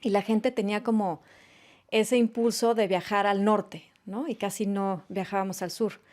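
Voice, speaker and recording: A woman's voice: mid-range voice, steady tone, normal speed. A woman in her late forties with a Mexican accent, a fashion designer answering interview questions in a radio studio and telling stories in a conversational way. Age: late 40s. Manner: warm storytelling, frequent tag questions.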